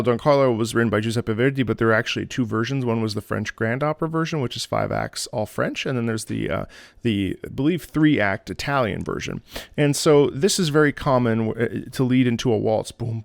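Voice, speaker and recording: A man's voice: mid-range voice, no echo, studio quality. A man hosting a music reaction video, an opera singer who talks enthusiastically and sings phrases along with the music. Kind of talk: casual music analysis.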